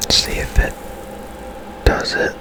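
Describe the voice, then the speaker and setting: Slow, whispering man doing gaming ASMR. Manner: hushed, unhurried, musing aloud. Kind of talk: whispered ASMR game commentary.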